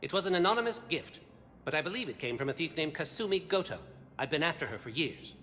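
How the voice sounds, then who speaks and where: A man's voice: fairly high pitch, big pitch swings, fast talker, bright, forceful tone. A man voicing an intense, confident alien special agent in a video game, clipped and formal in delivery. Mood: earnest, intent. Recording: long echoing reverb, background noise.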